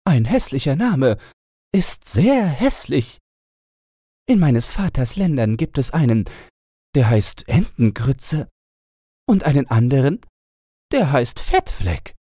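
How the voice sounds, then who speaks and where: A man's voice: mid-range voice, sing-song, slow, pauses often, warm tone, no room sound, clean studio sound, pitched above the performer's own voice. A man voicing a cheerful young fairy-tale princess with playful, naive, bossy chatter. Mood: amused, chattering.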